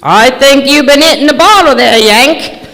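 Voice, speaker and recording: A woman's voice: high voice, normal speed, a bit bright. A woman playing an ominous housekeeper in a live old-time radio play, theatrical and foreboding. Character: ominous English housekeeper of a haunted castle. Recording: echoey hall stage recording.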